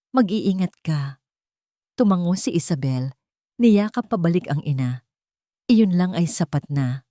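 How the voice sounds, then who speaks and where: Female storyteller for romance drama: lowish, mellow voice, sentimental scripted delivery. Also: dry recording, studio quality.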